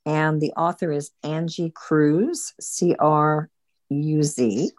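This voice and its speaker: Low-pitched woman leading a book group. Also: fairly even intonation, slow, a little mellow, no echo, studio quality. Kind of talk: casual group discussion.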